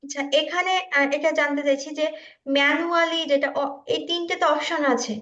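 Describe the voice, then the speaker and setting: A woman's voice: fairly even intonation, a little fast, fairly bright tone. A woman giving an informal online tutorial in a hesitant, self-deprecating way, sounding nervous. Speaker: student presenter demonstrating video upload. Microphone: online video call. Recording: muffled low-fidelity online meeting audio.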